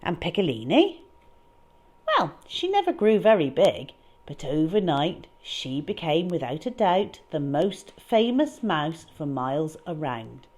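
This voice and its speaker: Lady doing storytime for kids, super lively pitch, dramatic and emphatic. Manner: expressive, emphatic, drawn-out key words. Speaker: children's storyteller. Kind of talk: dramatic read-aloud storytelling.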